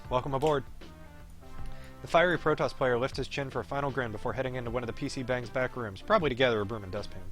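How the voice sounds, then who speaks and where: Male streamer, bright sharp voice, middle pitch, game narration, wry casual asides, faint background music. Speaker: streamer reading a game aloud. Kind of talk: live playthrough, narrating text with casual asides.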